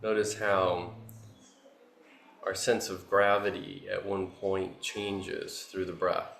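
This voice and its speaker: A man leads a guided meditation in a low, even voice. He gives gentle instructions in short phrases, leaving long pauses between them. The recording has a roomy echo around his voice. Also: creaky. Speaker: meditation teacher. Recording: roomy echo, faint background noise.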